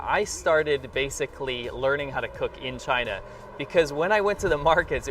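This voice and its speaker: A man's voice: mid-range voice, a bit bright, recorded from a distance, slight background noise. A man with an American accent hosting a casual vlog, speaking in an enthusiastic, emphatic way. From rural New York, United States. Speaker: vlog host. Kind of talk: casual vlog talk to camera.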